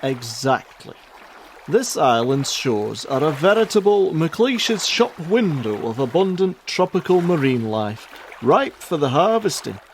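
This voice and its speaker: A man playing a lively, grumbling henpecked fish hawker. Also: on the high side, a little slow, slight background noise. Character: grumbling henpecked husband hawking fish on a beach. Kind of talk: amateur radio play acting.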